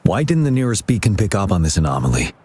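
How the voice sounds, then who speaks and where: A man playing a calm, attentive military commander with a formal, measured manner in a video game. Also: low voice, breathy edge, exaggerated voice. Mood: serious, concerned.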